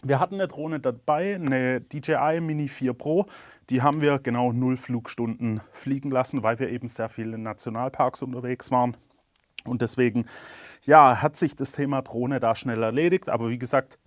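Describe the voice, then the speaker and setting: A man with a cold, candidly talking through his camera gear in a casual review. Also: medium pitch. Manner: candid, chatty, plain-spoken. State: has a cold.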